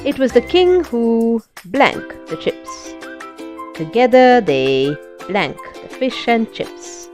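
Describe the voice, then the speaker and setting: A woman reading comprehension questions for children in a measured, instructional way. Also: a little high, big pitch swings, a little mellow, soft background music. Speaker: quiz presenter.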